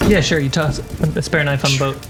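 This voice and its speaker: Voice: medium pitch, steady tone, brisk. A game master narrating a tabletop role-playing game, with music playing behind the voice. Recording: loud music bed behind voice. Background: background music score.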